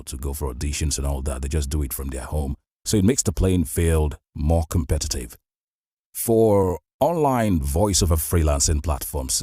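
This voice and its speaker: Very deep, husky Nigerian man. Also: a little mellow, no room sound, clean studio sound. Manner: conversational, motivational coaching. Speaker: voice-over artist hosting a show. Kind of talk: advice monologue to listeners.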